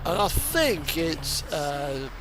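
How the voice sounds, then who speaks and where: A man rambling casually as he streams, speaking haltingly with pauses mid-sentence. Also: on the high side, big pitch swings, background noise. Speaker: game streamer. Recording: electronic music playing behind the voice. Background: non-stop music from in-game radio, vehicle sounds.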